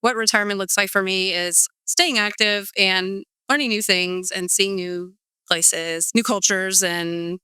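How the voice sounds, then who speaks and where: A chatty woman in her forties from California tells her story with a bright, very clear voice. She rambles, piling up "um" and "like," and the close recording is dry. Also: mid-range voice, fairly even intonation, a little slow, dry recording, low-quality recording. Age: 40s. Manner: chatty, rambling, many fillers like um and like. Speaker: guest. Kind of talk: casual interview storytelling.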